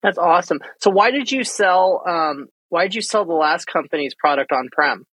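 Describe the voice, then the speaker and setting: A man's voice: a little high, animated, normal speed, a bit bright, husky, no echo, low-quality recording. A man hosting an interview, asking questions in a casual style and thinking aloud as he works his way into each one. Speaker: podcast host, interviewer.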